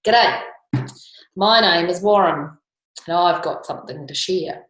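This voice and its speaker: A woman's voice: lowish voice, measured pace, a bit bright, roomy sound, pitched below the performer's own voice, rough recording. A woman voicing a grumpy, indignant male koala from a storybook, blunt and protesting in slangy speech. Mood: matter-of-fact grumpy.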